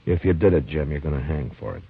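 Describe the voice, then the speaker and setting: A stern, deep-voiced frontier marshal. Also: male voice, slightly rough, exaggerated voice. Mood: firm. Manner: firm, measured, commanding. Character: stern frontier marshal, man, middle-aged, calm authority. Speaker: marshal narrating. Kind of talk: 1950s radio drama acting. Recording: dull old radio broadcast recording with music.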